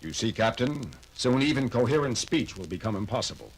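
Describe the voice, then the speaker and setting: An actor playing a calm, logical male science officer who speaks in a measured, precise and formal way. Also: medium pitch, very noisy, lightly acted. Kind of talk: 1970s audio drama acting.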